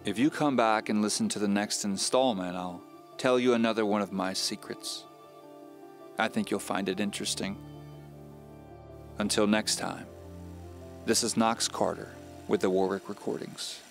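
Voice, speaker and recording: A man acting as a weary homeowner with a low voice, telling his haunted story in the first person. He is measured, confiding and a little wry, as in a mystery audio drama, with music underneath. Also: steady tone, lightly acted. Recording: voice over music bed. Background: background music.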